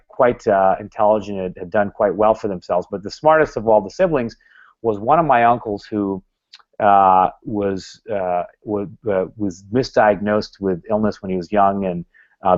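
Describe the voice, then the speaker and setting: Man, guest speaker, low-ish voice, rambling delivery full of restarts, dull recording. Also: fairly even intonation, moderate pace. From Canada. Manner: rambling, many restarts and fillers. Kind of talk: talk to a club.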